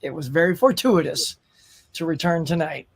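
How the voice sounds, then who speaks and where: A man speaking in a casual online chat, still hoarse from illness, upbeat and thankful. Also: fairly high pitch, lively intonation, normal speed. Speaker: guest creator.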